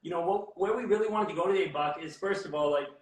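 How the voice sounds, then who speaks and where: A man's voice: high-pitched, fast talker. A man hosting a casual video-call interview, laid-back and friendly, leaning on "like" and "yo". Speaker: host. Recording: echoey room.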